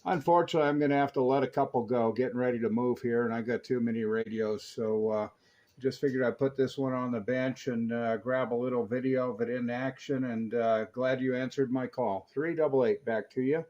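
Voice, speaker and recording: A man's voice: medium pitch, steady tone, a little fast, a little mellow, muffled recording. An American man chatting casually and enthusiastically over CB radio, explaining things like a keen hobbyist.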